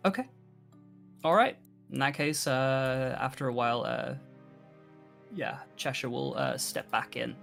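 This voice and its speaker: A woman's voice: a bass voice, measured pace, gravelly, long pauses, soft background music. A woman playing a tabletop roleplaying game, casually describing her character's actions and hesitantly thinking aloud.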